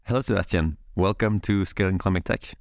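A man hosting a podcast, reading a scripted introduction and then asking interview questions in measured, formal phrasing. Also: low voice, gravelly, no echo, clean studio sound. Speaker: podcast host.

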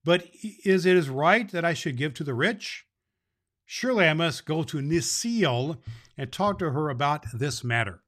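A man voicing a kindly, gentle male toymaker in a storybook, speaking earnestly. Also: a little high, expressive, normal speed. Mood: troubled musing.

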